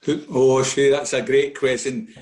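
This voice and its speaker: A man's voice: mid-range voice, flat delivery, a little slow, a little mellow, slight background noise. A Scottish man, a club official, giving fans an informal and enthusiastic update over a video call, often repeating himself.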